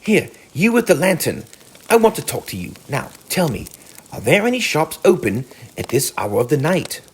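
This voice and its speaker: A man puts on a masterful storybook rat. He is hearty and commanding, with a raised, lively pitch and faint noise behind. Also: normal speed, low-quality recording. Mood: brisk, commanding. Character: hearty, masterful male water rat host.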